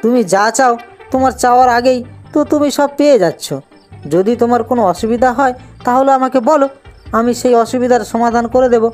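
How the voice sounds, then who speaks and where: Woman acting stubborn young village man, highish voice. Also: moderate pace, a little mellow, soft background music, lightly acted. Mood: calm, evasive. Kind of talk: cartoon voice acting.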